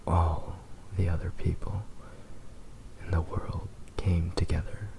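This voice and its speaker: A man voicing a devoted, possessive fox spirit husband in an ASMR roleplay, speaking in a soft, breathy half-whisper with intimate, lingering pauses. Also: deep voice, flat delivery, exaggerated voice. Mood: adoring.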